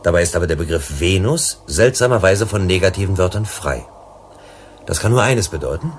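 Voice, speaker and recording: An actor playing a shrewd, composed investigator in a German science fiction radio drama, speaking in a probing, self-assured way. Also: male voice, low voice, lively intonation, a little fast, slight background noise. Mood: earnest, explanatory.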